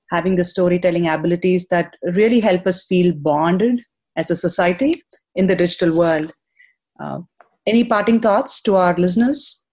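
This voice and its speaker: A woman's voice: fairly low pitch, a little mellow. A woman hosting a podcast, asking questions in an upbeat, encouraging way. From Chennai, India. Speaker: podcast host. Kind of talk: podcast interview.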